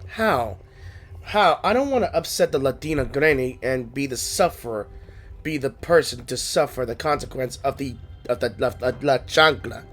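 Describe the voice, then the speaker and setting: A man's voice: mid-range voice, animated, moderate pace, a bit bright. A man doing casual let's play commentary and reading along, with wry, joking asides. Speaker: gaming video creator. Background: game music.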